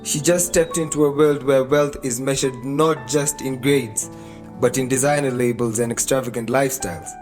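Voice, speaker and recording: A Kenyan man narrates a scripted radio drama. His voice is medium in pitch, with music playing faintly underneath. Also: fairly even intonation, moderate pace, darkish tone. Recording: music behind the voice. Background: background music.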